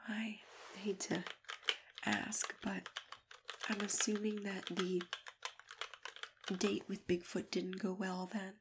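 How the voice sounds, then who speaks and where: A woman playing a kindly, attentive matchmaker in a soft, gentle ASMR roleplay, often dropping into whispers as she talks unhurriedly to a client. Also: mid-range voice, flat delivery, slow, pauses often, background noise, close to an everyday voice. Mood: soothing, welcoming. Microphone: close ASMR microphone.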